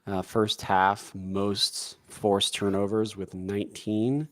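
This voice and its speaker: A man hosting a casual sports podcast from his home, talking in a relaxed, rambling way. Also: lowish voice, takes it slowly, dry recording. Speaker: podcast host. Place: home room by back door.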